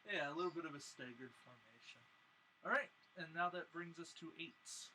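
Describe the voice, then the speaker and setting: A man with a somewhat high voice hosts a tabletop miniatures game. He talks casually and hesitantly, thinking aloud as he plays. There is noticeable noise behind him. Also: lively intonation, normal speed, bright, forceful tone, low-quality recording. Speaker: host and player in a tabletop game stream. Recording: clear background noise.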